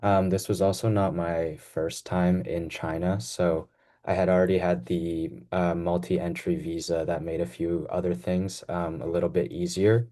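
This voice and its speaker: A young man from the United States, a student on a panel, answering in a relaxed way with many "you know"s. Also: deep voice, a little slow. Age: young adult.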